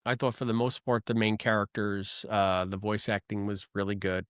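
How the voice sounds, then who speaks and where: A man co-hosting a podcast, talking through his thoughts in a casual, rambling way with frequent pauses and ums. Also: on the low side, steady tone, smooth, no echo, studio quality. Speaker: podcast co-host. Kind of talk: casual review chat.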